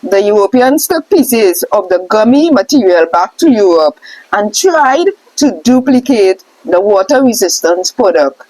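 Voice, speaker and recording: A woman reading a scripted question-and-answer lesson aloud, setting out names and lists deliberately. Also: medium pitch, lively intonation, a little mellow, no room sound, low-quality recording. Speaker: reader of a scroll text.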